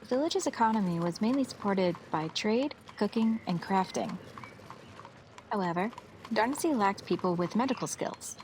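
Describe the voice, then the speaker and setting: A woman's voice: medium pitch, expressive, moderate pace, darkish tone, a little hiss or hum. A female narrator tells a scripted fantasy tale in a mid-range voice. She reads as the storyteller of an audio drama, with faint ambience of animals and clopping hooves behind her.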